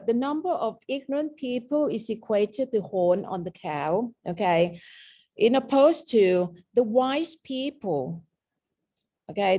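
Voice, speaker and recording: A woman's voice: lowish voice, creaky, dark tone, husky. A woman with a mixed Thai and British accent, teaching a lecture on meditation and often checking in with "okay?". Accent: Thai and British mix. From Thailand. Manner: explaining with frequent "okay?" checks.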